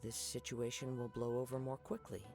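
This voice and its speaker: Guy voicing thoughtful young samurai drifter in a game, gravelly creak, polite n poetic, music behind. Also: medium pitch, little pitch movement, normal speed, natural voice. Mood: hesitant, reserved. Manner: soft-spoken formal, reflective. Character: reserved young wandering swordsman, gentle and poetic. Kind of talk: video game dialogue acting.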